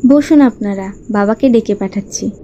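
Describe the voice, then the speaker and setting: A woman's voice: medium pitch, moderate pace, warm tone, muffled recording, slight background noise, natural voice. A woman playing an eager, dutiful young daughter in a cartoon story, sweet and polite in her manner.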